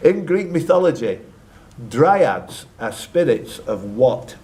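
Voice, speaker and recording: A man's voice: fairly high pitch, big pitch swings, slow pace, very noisy. A man hosting a live quiz, reading out questions with jokey, teasing asides to the contestants. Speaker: quiz host and question reader.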